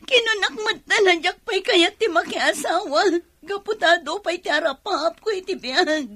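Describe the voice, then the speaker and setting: An actress with an Ilocano accent playing an emotional, defiant young daughter in a radio drama. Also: female voice, very high voice, normal speed, pushed and bright. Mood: tearful, defiant. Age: young adult. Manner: emotional, pleading. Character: tearful, defiant young daughter pressured into marriage.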